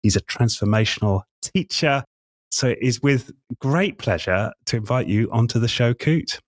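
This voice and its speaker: A middle-aged man hosting a conversational interview podcast, chatty and a little halting as he rephrases his sentences. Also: mid-range voice, expressive, no echo, clean studio sound. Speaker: podcast host.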